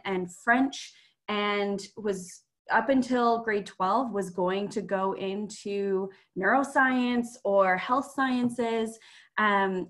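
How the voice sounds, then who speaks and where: A young woman from Ontario, Canada, coordinating a program, who introduces herself on a podcast in a friendly, chatty way. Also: medium pitch. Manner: friendly, chatty, a few restarts. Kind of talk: casual self-introduction.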